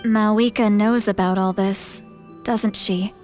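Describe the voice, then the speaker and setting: A woman's voice: medium pitch, fairly even intonation, takes it slowly, darkish tone, soft background music, close to an everyday voice. A woman voicing a determined, earnest young female warrior in a video game, resolute in the way she talks.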